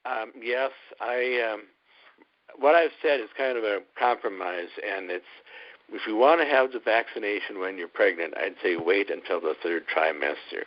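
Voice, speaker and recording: An older man with a Midwestern American accent, a guest doctor answering interview questions in a measured, hesitant way with many ums. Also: on the low side. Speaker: guest obstetrician. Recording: muffled radio audio.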